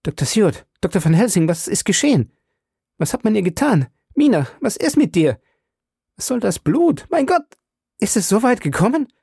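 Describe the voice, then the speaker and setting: A man voicing a frantic young husband who shouts panicked questions in a read-aloud story. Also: high voice, big pitch swings, moderate pace, gravelly, breathy edge, no echo, studio quality, pitched above the performer's own voice, exaggerated voice.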